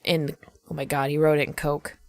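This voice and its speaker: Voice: mid-range voice, level tone, brisk, a little mellow, dry recording. A podcast co-host casually improvising a comic story in a blunt, playful, profane way. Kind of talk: casual comic brainstorming of a made-up slasher story.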